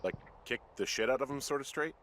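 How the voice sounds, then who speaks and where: A man's voice: medium pitch, fast, a bit bright, close to an everyday voice. An actor playing a weary, sarcastic everyman rookie fire lookout in a video game, speaking in a dry, reluctant, casual way. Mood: incredulous, reluctant. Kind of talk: naturalistic video game dialogue.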